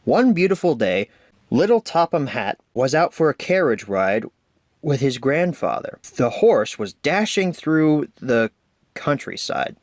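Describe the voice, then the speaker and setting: A man narrating a children's storybook aloud with deliberate phrasing. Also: medium pitch, very animated, darkish tone, no echo, studio quality.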